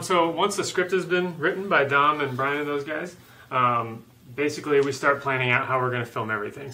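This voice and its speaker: A man's voice: mid-range voice, bright, forceful tone. A man speaking as an interview guest, explaining his work informally with somewhat hesitant phrasing. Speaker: guest, game animator. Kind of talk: casual explanatory interview talk.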